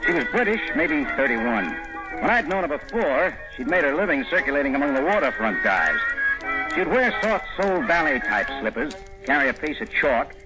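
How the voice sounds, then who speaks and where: An actor playing a wry, world-weary foreign correspondent, narrating and starring in an old-time radio drama with a breezy, hard-boiled manner. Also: male voice, fairly high pitch, big pitch swings, normal speed, over loud music, low-quality recording. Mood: wry, atmospheric. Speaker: narrator and lead.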